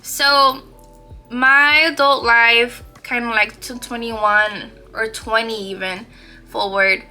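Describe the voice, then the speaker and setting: Slow-talking bright-voiced woman podcaster. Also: fairly high pitch, fairly even intonation, soft background music. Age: 20s. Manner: chatty and playful, slangy with laughs and asides. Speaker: podcast host. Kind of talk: casual solo podcast chat.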